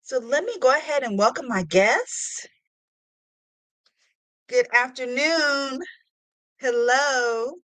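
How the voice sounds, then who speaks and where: A woman's voice: high-pitched, takes it slowly, long pauses, fairly bright tone. A woman hosting a podcast, chatting casually and interviewing in a playful, effusive way. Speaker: podcast host.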